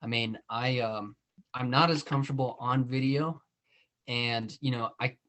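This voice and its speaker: A man's voice: mid-range voice, steady tone, a little slow, fairly bright tone. A man hosting a podcast, chatting casually with lots of "you know" and "I mean". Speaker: podcast host. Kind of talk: casual interview chat.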